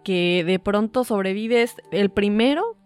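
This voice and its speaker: A woman's voice: mid-range voice, lively intonation, slow pace, clear, resonant tone, faint music behind. A woman, co-hosting a casual chat and telling a story with many fillers. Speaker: podcast co-host.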